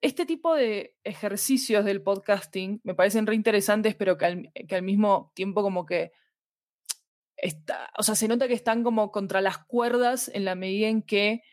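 A woman's voice: medium pitch, moderate pace, darkish tone, dry recording. Latin American woman, dry close sound. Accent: Latin American Spanish. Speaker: podcast guest, fiction podcast producer. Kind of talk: conversational interview about audio fiction.

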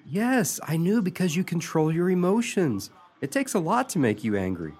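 An actor playing a supportive, lovestruck male friend, earnest and gently persistent, in a radio play. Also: on the high side, very animated, normal speed, slightly rough.

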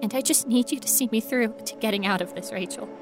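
A woman's voice: on the high side, fast, music in the background, close to an everyday voice. A woman playing a frightened, wry young ghost researcher in an audio horror monologue, rambling nervously to herself. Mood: anxious, resolute. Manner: rambling nervous self-talk, sardonic, often dropping to whispers.

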